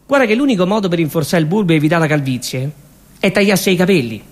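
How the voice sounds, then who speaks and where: A nagging, sarcastic young woman, put on by a male actor, pitched a bit lower than his own voice but still fairly high. She lectures and accuses in a stage comedy. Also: expressive, quick pace. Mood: lecturing.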